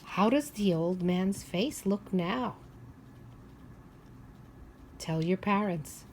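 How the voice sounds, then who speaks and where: A woman teacher reading a picture book aloud to young children, expressive and instructive, often pausing to ask them questions. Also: medium pitch, slight background noise. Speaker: preschool or primary teacher.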